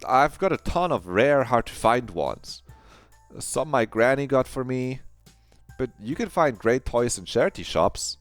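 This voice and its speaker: A man's voice: medium pitch, very animated, measured pace, no room sound, faint music behind, voice pushed up. A man voicing an anxious, eager teenage girl who rambles and stammers nervously. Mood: eager rambling.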